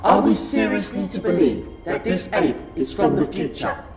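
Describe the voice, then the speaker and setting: A man's voice: a little high, big pitch swings, moderate pace, gravelly. A man voicing a haughty, contemptuous reptilian Silurian of a royal court in a sci-fi audio drama, declaiming in a pompous, scornful, formal way. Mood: scornful, outraged. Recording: dull, echoey, under very loud music.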